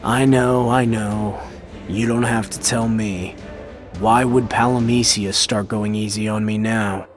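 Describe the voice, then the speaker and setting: A young warrior hero, acted by a man, fairly low in pitch. He is blunt, dry and stubborn, with casual defiance, in a fantasy game scene over faint music. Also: steady tone, measured pace, close to an everyday voice. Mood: weary, wry. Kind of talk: video game cutscene acting.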